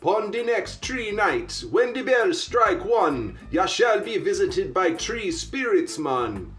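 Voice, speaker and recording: Guy doing a spooky warning ghost, slow chanty prophecy, voice swooping all over, bit of music. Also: high-pitched, sing-song, fairly bright tone, full character voice. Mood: ominous, teasing. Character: ominous warning spirit with mock-archaic, laid-back patter. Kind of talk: amateur radio play acting.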